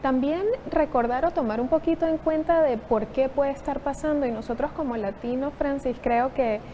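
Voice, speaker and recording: A woman in her thirties from Venezuela, a psychotherapist, answering interview questions in a reflective, measured way. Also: high-pitched, warm tone, a little hiss or hum. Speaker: psychotherapist guest.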